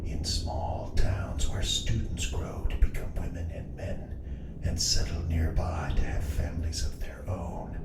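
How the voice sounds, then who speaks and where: The Whispering Man, very deep, hushed. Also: recorded from a distance, heavy background noise. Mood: hushed menace. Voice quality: whispery, breathy. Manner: slow, hushed, ominous. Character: the Whispering Man, sinister male horror story host. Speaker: host introducing a ghost story show. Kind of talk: scripted horror anthology introduction. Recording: roomy echo under loud music. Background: loud music.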